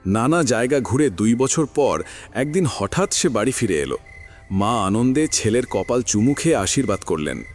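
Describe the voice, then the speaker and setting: A man narrating a classic story in an audio drama, his pitch rising and falling with lively expression while faint music plays underneath. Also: moderate pace. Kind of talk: scripted literary narration.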